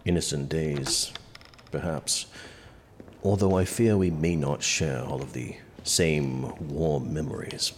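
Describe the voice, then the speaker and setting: An actor playing a haughty, sardonic prince in a medieval audio drama, speaking in a dry, pompous, measured way. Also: male voice, a bass voice, a little hiss or hum. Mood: gloomy, sardonic. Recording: reverberant hall with faint music behind.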